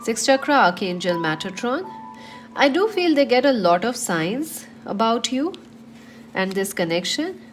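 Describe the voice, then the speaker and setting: A woman's voice: a little high, big pitch swings, unhurried, music in the background. A woman with a cold and a slightly nasal voice, giving a chatty tarot reading to her viewers with many fillers. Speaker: tarot reader.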